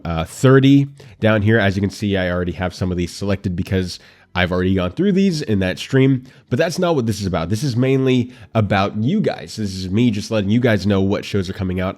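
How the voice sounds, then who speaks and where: A man's voice: low voice, a little mellow. A man hosting a casual anime commentary show, congested and nasal from allergies, with a dry throat, chatting straight to his viewers. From United States.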